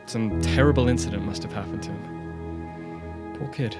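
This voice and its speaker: A man's voice: on the low side, unhurried, close to an everyday voice. A man playing a kind, easygoing homeless shelter manager in a radio drama, conversational and concerned. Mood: thoughtful, sympathetic. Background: music.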